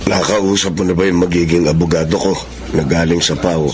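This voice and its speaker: A man's voice: low-pitched, fairly even intonation, fairly quick, noisy background, natural voice. An actor playing a remorseful, resolute jailed husband and father in a radio drama. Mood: grateful, subdued. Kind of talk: Tagalog radio drama acting. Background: music.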